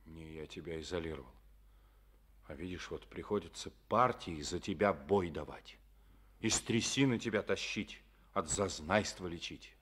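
A firm, steady party secretary. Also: male voice, low voice, normal speed, slightly rough. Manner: firm, persuasive, paternal. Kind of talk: 1950s Soviet radio drama acting.

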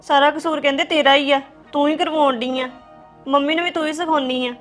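A woman's voice: sits high, fairly even intonation, brisk, a bit bright, soft background music. A woman playing a tearful wronged wife. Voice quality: tearful, complaining. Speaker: young wife in a family quarrel. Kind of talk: family drama acting.

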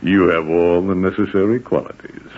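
Wry deep roman officer from a 40s radio play, dull old recording. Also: male voice, fairly even intonation. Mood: wry amusement. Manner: wry, measured. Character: urbane, amused, probing male Roman soldier. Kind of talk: 1940s radio drama acting.

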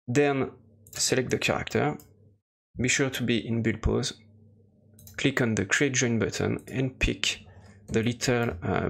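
Gravelly-voiced man giving a halting software tutorial. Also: medium pitch. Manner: hesitant, halting explanation. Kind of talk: unscripted screen-recorded tutorial narration.

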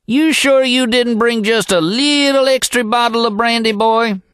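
A man voicing a weathered old mountain packer who yells everything in a folksy rustic drawl. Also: very high-pitched, measured pace, clear, resonant tone, no room sound, clean studio sound, pitched above the performer's own voice. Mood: hearty yelling.

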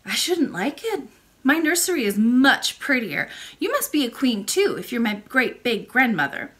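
Woman acting a little princess, high swooping pitch, childlike, curious, polite, innocent, storybook reading. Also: big pitch swings, normal speed, fairly bright tone. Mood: frank. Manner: childlike, eager questioning.

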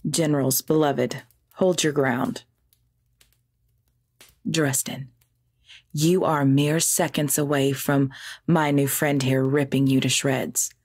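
A woman playing a commanding, possessive vampire ruler and lover in an ASMR roleplay drama, speaking in a formal, imperious, measured way. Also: very deep voice, flat delivery, a little mellow. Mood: commanding, menacing.

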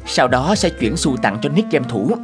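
Polite young man, cartoon dialogue, animated intonation, explanatory. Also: mid-range voice, moderate pace, music in the background. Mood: patient. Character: polite young man patiently helping an older neighbour.

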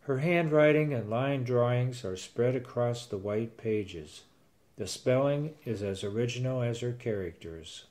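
A man's voice: mid-range voice, a little slow, darkish tone. A man in his sixties narrating a first-person story and acting out its dialogue as a grandfather.

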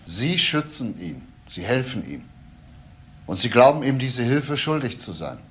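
A male actor playing a deliberate, insistent police investigator in a crime radio play. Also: medium pitch, very animated, fairly bright tone, slightly rough, exaggerated voice. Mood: insistent, pointed. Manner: deliberate, pointed, questioning. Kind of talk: radio crime drama acting.

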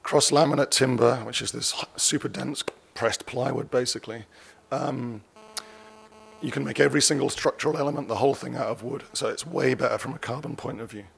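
A man's voice: mid-range voice, steady tone, brisk, creaky, husky. A man in his forties giving a conference keynote from a lectern, conversational and wry, often correcting himself mid-sentence. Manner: conversational, self-correcting, dryly wry asides. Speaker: keynote speaker. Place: conference stage. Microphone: lectern mic.

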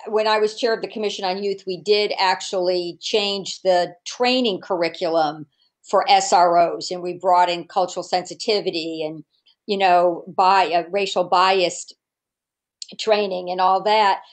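A middle-aged woman, a state legislator, explaining policy in a measured way over a video call from her living room. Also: lowish voice, fairly even intonation. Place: living room at home, indoors. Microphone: video call webcam.

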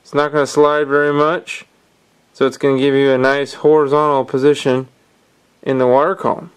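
A man calmly explaining step by step how to tie fishing knots, talking while his hands work at a table indoors. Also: mid-range voice, normal speed, clean tone. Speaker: fishing instructor. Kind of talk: hands-on knot-tying tutorial.

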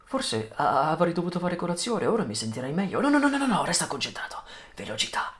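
An actor voicing a cocky young race car psyching himself up, intense and self-absorbed, in an animated film dub. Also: male voice, on the high side, fast talker, heavy background noise. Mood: focused, hushed.

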